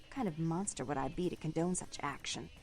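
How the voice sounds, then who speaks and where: A woman's voice: low voice, fairly quick, a little mellow, faint music behind. A woman playing a haughty, controlling vampire club owner in a video game, speaking in a formal, imperious, clipped manner. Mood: indignant, angry. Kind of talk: dramatic video game voice acting.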